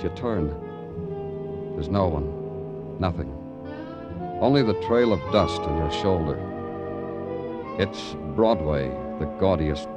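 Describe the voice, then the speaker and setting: An actor playing a weary, relentless American police detective in a 1950s radio crime drama, clipped and brooding. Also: male voice, low voice. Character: hard-boiled weary Broadway police detective, relentless and knowing.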